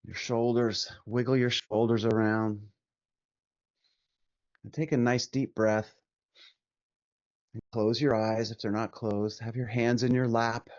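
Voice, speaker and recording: A man leading a guided meditation as a teacher, speaking gently and soothingly with long pauses between short instructions. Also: mid-range voice, little pitch movement, no room sound, muffled recording.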